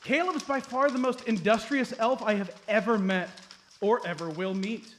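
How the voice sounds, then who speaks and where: A man's voice: high voice, moderate pace, a bit bright. A man playing a naive, earnest adventurer sidekick in a comedic radio play, boyish and eager to please. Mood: admiring. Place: comedy theatre stage, live show. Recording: noisy live stage recording.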